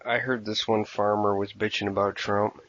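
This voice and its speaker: A man's voice: fairly low pitch, flat delivery, moderate pace, a bit bright, slight background noise. A man co-hosting a podcast, teasing his partner bluntly and swearing in casual banter. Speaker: podcast co-host. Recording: dry, dull lo-fi podcast audio.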